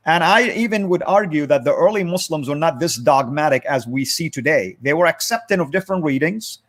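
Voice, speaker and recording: Man hosting a livestream, slightly high voice. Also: lively intonation, fairly quick. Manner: conversational, hesitant fillers while moderating. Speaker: host. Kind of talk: livestream discussion.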